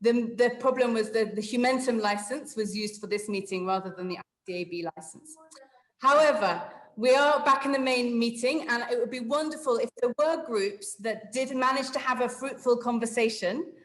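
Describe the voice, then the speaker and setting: A woman's voice: fairly high pitch, moderate pace, roomy sound, rough recording. A woman with an English accent, hosting an online meeting and guiding people step by step, with frequent fillers and apologies. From England. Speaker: webinar facilitator. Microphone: video call.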